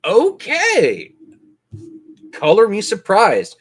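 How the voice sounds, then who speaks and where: A man's voice: sits high, wildly swooping pitch, very slow, breathy edge. A man acting as game master, narrating and running a tabletop roleplaying game.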